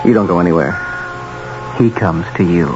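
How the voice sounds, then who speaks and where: An actor playing a cynical recruiter of contract killers in a 1970s radio drama, persuasive and matter-of-fact, with deliberate pauses. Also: male voice, sits low, lightly acted. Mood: firm, pressing. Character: smooth, cynical recruiter of contract killers, trade magazine editor. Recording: muffled vintage radio, loud music behind.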